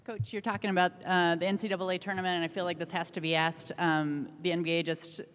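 A woman, a reporter, asking a measured question with careful phrasing at a press conference. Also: low-pitched. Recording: long hall reverb.

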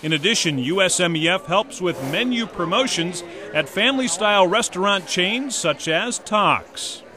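A man reading a scripted corporate narration in a measured, polished way. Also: high voice, lively intonation, a crowd in the background.

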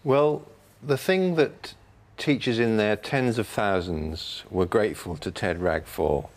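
Low, measured man reviewing. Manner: measured, articulate, formal phrasing. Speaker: panel reviewer. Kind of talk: considered spoken book review.

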